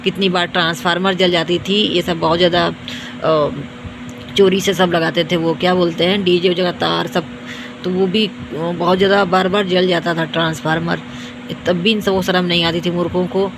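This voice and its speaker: Hoarse young woman ranting, flat voice. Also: on the low side, moderate pace, noisy background. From India. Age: young adult. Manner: repetitive, boastful, scolding. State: tired. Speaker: vlogger. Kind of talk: self-filmed rant to viewers.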